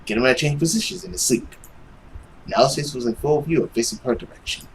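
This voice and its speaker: A man's voice: medium pitch, lively intonation, fairly quick, vocal fry. Young man narrating, noisy background. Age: young adult. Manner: stumbles over words and rereads them. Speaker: let's player narrating a visual novel. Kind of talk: dramatic read-aloud of game text.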